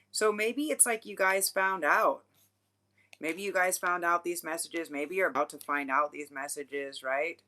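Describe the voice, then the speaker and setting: Female tarot reader, low and bright-toned, rambling, frank, swears casually. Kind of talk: casual livestream tarot reading.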